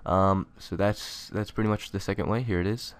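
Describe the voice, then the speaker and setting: Casting: young male tutorial host, low smooth voice, informal delivery, dry close recording. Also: steady tone, moderate pace, no room sound. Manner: informal, conversational. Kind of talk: casual software tutorial narration.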